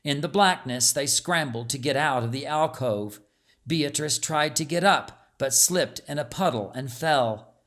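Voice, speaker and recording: A man reading a scripted story as an audiobook narrator, with a measured, dramatic storytelling delivery. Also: mid-range voice, a bit bright.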